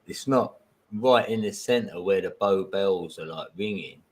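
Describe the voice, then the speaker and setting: A man's voice: deep voice, measured pace. A man from London's East End with a Cockney accent, chatting casually in an easygoing, agreeable way and laughing often. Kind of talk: casual chat.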